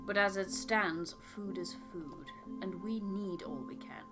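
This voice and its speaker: A woman voicing a composed, pragmatic ship's captain in a game, speaking in a measured, firm way. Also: mid-range voice, music in the background, natural voice. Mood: firm, reasoning. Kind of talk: voiced game dialogue.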